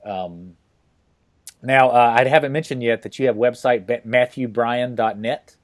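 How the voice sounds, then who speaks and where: A man hosting a podcast interview, talking casually and haltingly with many fillers and restarts. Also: medium pitch. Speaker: podcast host.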